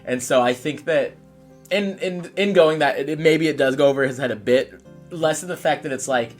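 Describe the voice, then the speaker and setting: A man's voice: a little high, moderate pace, faint music behind. A man playing a tabletop game, casually explaining his character as he thinks aloud.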